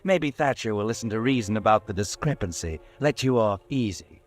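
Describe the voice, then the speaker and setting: A man's voice: lowish voice, animated, a little fast, soft background music, natural voice. A man voicing a taunting ominous narrator. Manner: taunting, goading, second-person. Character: ominous male narrator, mocking inner voice. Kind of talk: 1940s radio drama narration.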